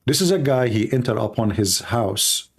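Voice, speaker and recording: A man's voice: mid-range voice, animated, moderate pace. A man hosting a live stream, giving scornful, mocking commentary with frequent laughter and non-native grammar. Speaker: host of a religious debate channel.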